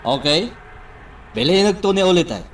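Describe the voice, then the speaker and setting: A man acting a courteous, pleased gentleman boss in a radio drama. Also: high-pitched, very animated, normal speed, a little hiss or hum. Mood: friendly, pleased.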